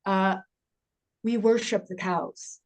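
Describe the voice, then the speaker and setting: A woman's voice: mid-range voice, steady tone, slow pace, close to an everyday voice. A woman playing a middle-aged forensic investigator at a film table read, matter-of-fact and wry, with a hesitant conversational delivery. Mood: businesslike, awkward. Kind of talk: actor's table read of an indie horror film.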